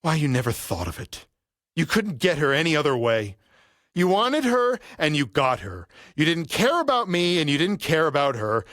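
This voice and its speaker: An actor playing a vengeful, grieving middle-aged father in an audio play, speaking with deliberate, theatrical menace. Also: on the high side, a bit bright, no room sound, clean studio sound. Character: vengeful grieving father, a barber settling an old debt.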